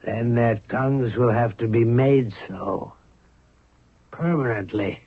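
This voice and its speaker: Cold, very husky scheming minister. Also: male voice, medium pitch, takes it slowly, phone-quality sound. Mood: menacing. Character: cold, scheming prime minister, father of the queen. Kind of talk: 1970s radio drama acting. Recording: thin, band-limited vintage radio recording.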